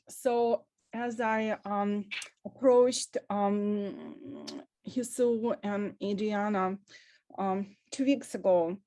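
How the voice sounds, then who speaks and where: Woman in her 50s on a video call, pausing a lot, mellow tone, very dry. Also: mid-range voice, no room sound. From Russia. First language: Russian. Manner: earnest and halting, with many pauses. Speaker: panelist. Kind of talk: panel talk on a video call.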